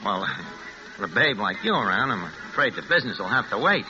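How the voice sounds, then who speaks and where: An actor in a 1940s-style radio drama playing a brash, streetwise New swindler, slangy and full of stammering bluster. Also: male voice, lowish voice, very animated, brisk. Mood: flirtatious. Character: brash, streetwise American swindler narrating his own scheme. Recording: dull vintage radio recording with music.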